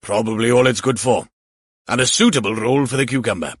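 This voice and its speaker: A man's voice: medium pitch, quick pace, breathy edge, dry recording, studio quality, close to an everyday voice. A man voicing a jokey, irreverent male detective with a mock-formal, bantering manner in an audiobook. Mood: jokey, brisk.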